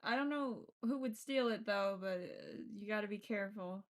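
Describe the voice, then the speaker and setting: A woman's voice: mid-range voice, moderate pace. A woman streaming a game, reading its text aloud and adding casual, playful, sweary commentary.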